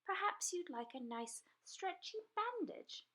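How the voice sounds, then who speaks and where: A kind little princess girl from a children's storybook, played by a woman. Her voice is high, sweet and gentle, with a polite lilt and lively pitch. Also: a little slow, heavily acted. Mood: sympathetic. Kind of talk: children's storybook read-aloud.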